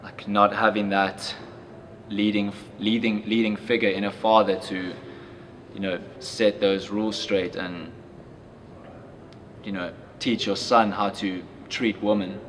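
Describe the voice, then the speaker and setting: A young man reading a life story aloud, then reflecting in a halting way with lots of fillers. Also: on the low side, flat delivery, fairly bright tone, a little hiss or hum. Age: young adult. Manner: halting, reflective when speaking freely, with many fillers. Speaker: youth reader.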